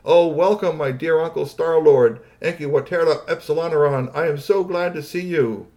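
A man voicing an excitable, grand alien crown prince with whoops and ceremonious exclamations in a bedtime story. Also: on the high side, normal speed, voice pushed up, natural voice. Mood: regal welcome.

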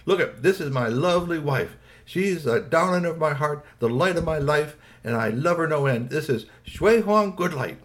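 A man's voice: a little high, expressive, normal speed, hardly any pauses, voice pushed up, natural voice. A man voicing a hearty, affectionate father in a children's story. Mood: hearty, fond.

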